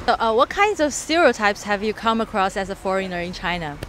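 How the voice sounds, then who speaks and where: A woman's voice: mid-range voice, lively intonation, fairly quick, a bit bright, a little hiss or hum. A woman asking short, direct questions as a street interviewer out on a city street.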